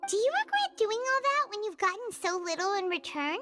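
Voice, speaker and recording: Very squeaky little fairy companion. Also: female voice, animated, a little fast. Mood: curious. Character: tiny, squeaky, childlike female fairy companion. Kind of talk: video game voice acting. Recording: clear background music under voice.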